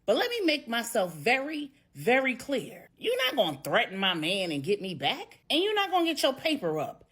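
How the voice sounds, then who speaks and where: A fearless older American grandmother in an audio story, acted out by a woman. She is blunt, sassy and fed up, with a husky edge. Her pitch swings hard as she leans on her words. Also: wildly swooping pitch, moderate pace, fairly bright tone, exaggerated voice. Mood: fed up, firm. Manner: sassy, blunt, emphatic street talk.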